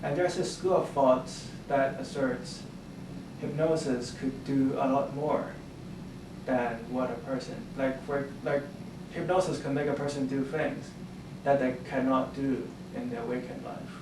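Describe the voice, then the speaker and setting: A man's voice: mid-range voice, steady tone, unhurried. A man interviewing a guest, rambling and restarting his questions. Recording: echoey room, far from the mic, noisy.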